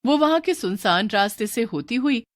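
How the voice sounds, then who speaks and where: A woman narrating a scripted horror story in a steady storybook manner. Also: on the high side, moderate pace, no echo, studio quality.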